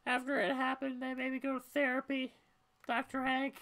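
A woman's voice: high-pitched, completely flat delivery, moderate pace, fairly bright tone. A woman voicing a weary, troubled young female cat in a story video game, talking in a hesitant, conversational way. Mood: subdued. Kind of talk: amateur voiced playthrough of a story game.

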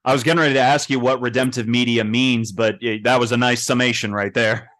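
A man's voice: medium pitch, fairly even intonation, quick pace, a bit bright, dry recording. A man in his thirties co-hosting a podcast in a playful, casual way over a video call with a headset. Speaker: podcast co-host. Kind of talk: casual chat. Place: indoor room.

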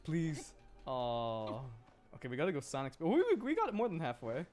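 A man's voice: fairly high pitch, wildly swooping pitch, moderate pace, a little mellow. A man chatting casually as he livestreams a game, giggly and easily amused as he reacts. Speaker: livestreamer, VTuber host. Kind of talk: casual game stream chat, reacting to play and chat. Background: game music.

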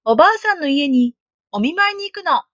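Woman playing a cheerful little girl, high innocent sing-song, dry close sound. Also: animated, a little fast, fairly bright tone, no room sound, highs cut off. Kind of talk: storybook read-aloud.

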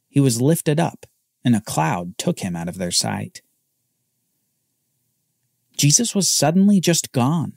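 Man narrating a Bible story, husky and lively. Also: medium pitch, no echo, studio quality. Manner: lively storytelling with dramatic pauses. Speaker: podcast host and narrator.

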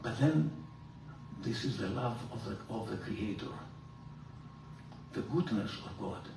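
An older man, a priest, giving a theology lecture in an animated, emphatic way, filmed from across a large room. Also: measured pace, creaky, background noise. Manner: animated, gesturing, emphatic rises in pitch. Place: large room with hard walls. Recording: roomy hall echo, from far off.

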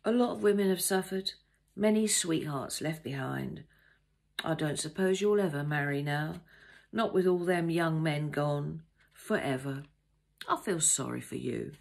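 A woman's voice: low-pitched, measured pace, gravelly, a little mellow, exaggerated voice. A woman voicing a talkative, pompous older man in an audiobook, blustering and patronising in colloquial speech. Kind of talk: audiobook character dialogue.

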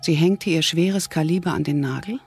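A male narrator with a rather high voice reads a scripted thriller audio drama. Clear music plays under his narration. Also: moderate pace, a little mellow.

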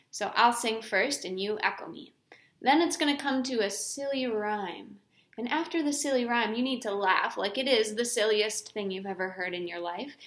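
A woman's voice: medium pitch, fairly bright tone. A young woman hosting a children's sing-along story time, explaining things simply to young children. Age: young adult. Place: living room indoors. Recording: roomy home recording with banjo. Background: banjo playing.

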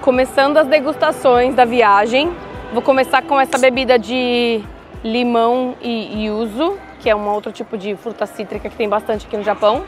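Young woman vlogger, high-pitched, chatty food tasting chat, light music bed. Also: steady tone, normal speed. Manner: chatty, describes food tastes. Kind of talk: casual travel vlog chat.

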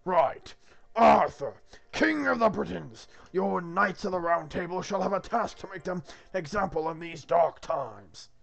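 Guy doing god, bossy and grand, kinda fed up, higher male voice, big swoops in pitch. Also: lively intonation, measured pace, creaky, muffled recording, full character voice. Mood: irritable, commanding. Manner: commanding, impatient, declamatory. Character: booming, irritable God handing down a sacred quest.

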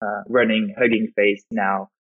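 A man's voice: fairly low pitch, level tone, measured pace, narrow, tinny audio. A man from France with a French accent, answering questions as a podcast guest in a loose, conversational way with many fillers. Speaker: guest, startup founder. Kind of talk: podcast interview.

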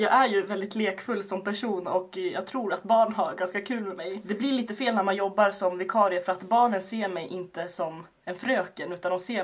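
A woman's voice: medium pitch, slightly rough. A woman, telling stories casually and playfully to camera as a vlogger. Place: small bedroom indoors.

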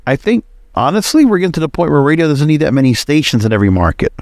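A man's voice: a little high, very animated, quick pace, darkish tone, dry recording, studio quality. A man hosting a solo podcast, giving casual, rambling and opinionated commentary. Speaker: podcast host.

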